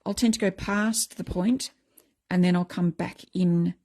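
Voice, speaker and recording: A middle-aged woman with a croaky voice, chatting casually as she explains a craft technique step by step. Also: on the low side, fairly even intonation, measured pace, darkish tone. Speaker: craft tutorial host.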